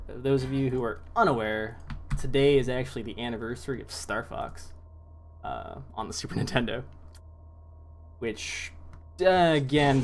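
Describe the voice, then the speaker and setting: A man's voice: mid-range voice, a little hiss or hum. Joking man casting games. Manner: joking, loose, swears casually. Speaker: esports caster. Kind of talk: casual closing chat on a game stream.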